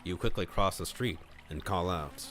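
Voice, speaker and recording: A man on a livestream reading a visual novel aloud, mixing scripted narration with casual asides where he thinks out loud. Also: deep voice, moderate pace, slight background noise. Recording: music playing behind the voice. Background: clear background music.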